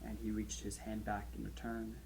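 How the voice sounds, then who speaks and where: A man's voice: fairly low pitch, monotone, slight background noise, natural voice, low-quality recording. A man playing a chatty kayak rescuer who recounts an eerie ordeal in an informal, wry, suspenseful monologue. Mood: hushed dread. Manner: wry, informal, halting for suspense, swelling then hushed. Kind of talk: conversational horror monologue, animated storytelling.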